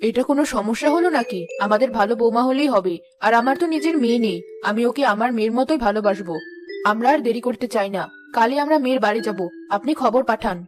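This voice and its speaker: A woman voicing a warm, eager middle-aged prospective mother-in-law in a cartoon. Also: fairly high pitch, fast, over music, natural voice.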